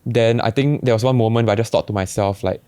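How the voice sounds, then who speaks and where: A young man with a Singaporean accent, telling his story in a relaxed, reflective way with many restarts. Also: on the low side, quick pace, clear, resonant tone, no room sound. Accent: Singaporean English. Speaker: barber guest. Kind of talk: casual podcast chat.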